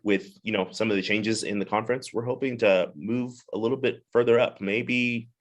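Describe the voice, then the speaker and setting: A man's voice: lowish voice, steady tone, normal speed. A man from Zimbabwe, a pastor and soccer chaplain, introducing himself as a podcast guest in an easygoing, upbeat way. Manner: easygoing, upbeat, with frequent fillers.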